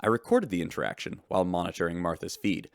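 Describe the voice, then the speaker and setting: A man's voice: deep voice, animated, quick pace. A man playing a radio reporter who narrates shipboard scandals in a dramatic announcer style for a sci-fi audio drama. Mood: announcing, dramatic.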